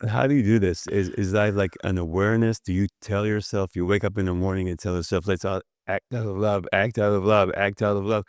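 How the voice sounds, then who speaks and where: A man's voice: fairly low pitch, fairly even intonation, a little fast, few pauses, clear, resonant tone, no room sound, clean studio sound. A man hosting a podcast, asking curious, probing questions. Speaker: podcast host. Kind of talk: interview questions.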